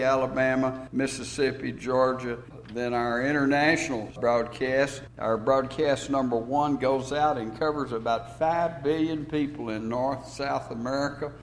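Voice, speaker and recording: A man's voice: mid-range voice, slight background noise, low-quality recording. A man hosting a church radio broadcast, earnestly listing outreach facts and places. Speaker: preacher and radio host.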